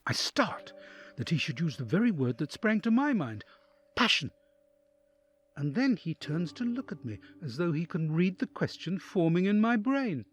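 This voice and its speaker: A man's voice: high-pitched, very animated, moderate pace, slightly rough, exaggerated voice. A man playing an awed, anxious envoy who narrates a dramatic audio drama in the first person. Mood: nervous, hushed.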